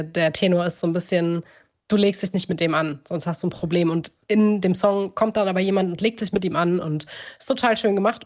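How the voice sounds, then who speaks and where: Female podcast co-host, lower register, informal and chatty, corrects herself as she goes. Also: a little mellow. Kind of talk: casual podcast chat.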